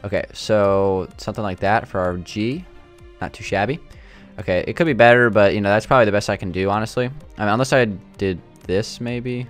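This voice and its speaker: A young man with a slightly raspy, sore throat, thinking aloud with lots of fillers as he builds in a game. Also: fairly low pitch, a little fast, faint music behind. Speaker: gaming channel host. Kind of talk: casual gameplay commentary.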